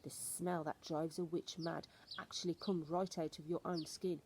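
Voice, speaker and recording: A woman's voice: fairly low pitch, little pitch movement, normal speed, natural voice. A woman voicing a stern, knowing old grandmother who instructs emphatically and ominously in a storybook reading, with some noise on the recording. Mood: relishing, instructive.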